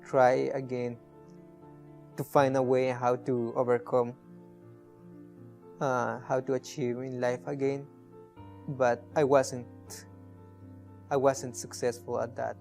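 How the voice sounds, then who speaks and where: A young Guatemalan-accented man tells his personal story in simple, halting phrases, as a non-native speaker. His pitch barely moves and his pace is unhurried. Soft guitar music plays behind him. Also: little pitch movement, gravelly. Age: young adult. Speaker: scholarship honoree. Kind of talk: personal story in a documentary video.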